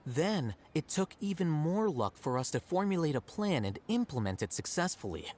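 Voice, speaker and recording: A man playing an aloof, self-assured young scholar in a video game, coolly logical, measured and dry. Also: a little high, sing-song, a little mellow. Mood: composed. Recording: game music under the voice.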